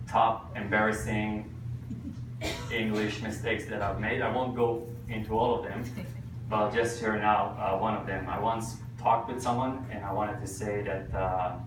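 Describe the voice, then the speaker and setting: A man from Israel with a thick Israeli accent, teaching a church group with slides, halting with many fillers and dry self-mocking jokes. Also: on the low side. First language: Hebrew. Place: church hall with audience. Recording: echoey room, voice from a distance, noisy. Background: audience laughter, room ambience.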